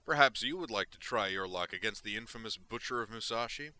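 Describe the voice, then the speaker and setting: Brief: loud theatrical android butcher, high and boastful, a male reader puts it on, bright edgy tone. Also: expressive, a little fast. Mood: gloating. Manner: loud, expansive, cackling.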